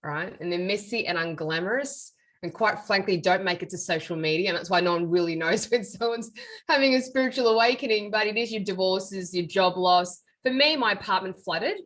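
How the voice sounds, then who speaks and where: A woman's voice: mid-range voice, brisk, fairly bright tone. A middle-aged woman, a medium and author, telling her life story as a guest in an interview in an earnest, reflective way. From Australia. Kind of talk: interview conversation.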